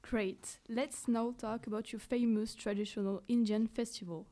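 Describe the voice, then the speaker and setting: A woman's voice: a little high, fairly even intonation, normal speed, dark tone. A woman hosting a podcast, asking her guests questions in an eager, scripted way. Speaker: podcast host.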